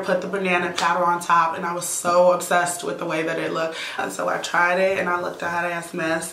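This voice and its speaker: A woman in her thirties answering viewer questions in a casual vlog, chatty and relaxed with many "um"s and "like"s. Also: lowish voice, echoey room. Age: 30s. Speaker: YouTuber, teacher. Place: indoor room at home.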